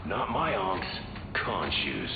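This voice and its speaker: An actor playing a troubled, guarded avatar of an ancient god in a video game, terse, dry and wary. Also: male voice, low voice, big pitch swings, slow pace, gravelly, reverberant, long reverb tail, muffled recording, over music, full character voice. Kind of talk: video game hero banter.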